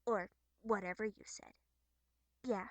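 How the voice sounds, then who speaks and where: A woman's voice: mid-range voice, steady tone, a little slow, no echo, highs cut off, natural voice. A woman voicing a brash, cocky teenage troll girl who talks in a mocking, sarcastic way.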